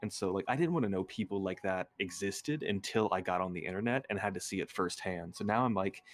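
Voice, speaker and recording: A man's voice: deep voice, fairly quick. A man chatting casually as a guest on a livestream, speaking hesitantly in short, broken-up pieces. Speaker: guest content creator. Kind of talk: casual livestream chat, personal anecdotes. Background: faint music.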